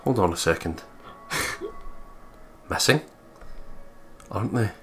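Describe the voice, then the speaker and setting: Guy on a let's play reading out narration, jokey and sweary, kinda low voice, faint noise. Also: expressive, takes it slowly. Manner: jokey, profane. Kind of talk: reading game narration aloud with joking commentary.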